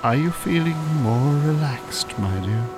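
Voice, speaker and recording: Radio drama: Irish male hypnotist swindler played by a man, slow, lively intonation, courteous and oily, music behind. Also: mid-range voice, lightly acted. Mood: soothing, hypnotic. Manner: courteous, unctuous, soothing, professional. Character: smooth, calculating male con-artist hypnotist posing as scientist.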